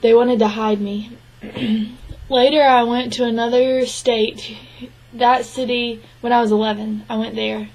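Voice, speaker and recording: A woman voicing the spoken interpretation of a signed interview, speaking in an even, measured way with frequent restarts. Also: on the high side, rough recording. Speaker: sign language interpreter voicing a deaf man's answers.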